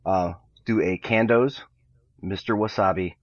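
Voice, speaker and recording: A man's voice: sits low, fairly even intonation, a little slow, no echo, muffled recording. A man chatting easily on a podcast, joshing with friends. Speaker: podcast co-host. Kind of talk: casual group chat.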